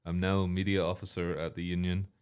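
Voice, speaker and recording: A man's voice: sits low, level tone, fast, a little mellow, clean tone. A young man co-hosting a student radio show, chatting casually and rambling, correcting himself and joking. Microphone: studio microphone.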